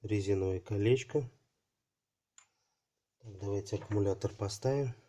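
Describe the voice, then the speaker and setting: Guy with a cold doing an unboxing, deep-ish soft voice, lots of pauses and filler. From Russia. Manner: halting, thinking aloud, many fillers like "so" and "there". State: voice affected by a cold. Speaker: channel host unboxing parcels. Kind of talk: casual unboxing commentary.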